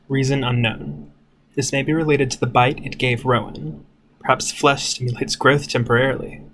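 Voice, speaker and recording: A man playing a cold, clinical head scientist dictating a log in a radio play, formal and measured. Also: medium pitch, natural voice. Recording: faint background noise.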